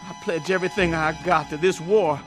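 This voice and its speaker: An actor playing a frightened, bitter young Confederate private in a radio drama, whiny and pleading, with a Southern US accent. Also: male voice, on the high side, fairly even intonation, a little fast, soft background music, close to an everyday voice. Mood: bitter, scared.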